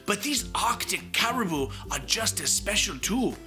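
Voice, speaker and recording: A man voicing a kindly, jovial male ship captain guiding a child, grand and warm, in a children's storybook. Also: high voice, very animated, moderate pace, faint music behind, exaggerated voice. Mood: fond, amused. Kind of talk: children's storybook read-aloud.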